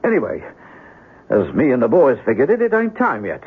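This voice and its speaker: A man's voice: medium pitch, wildly swooping pitch, a little fast, slightly rough, exaggerated voice. An actor in an old-time radio drama playing a loyal, chatty airman with a folksy, slangy manner. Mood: folksy, earnest. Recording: tinny narrow-band old radio recording.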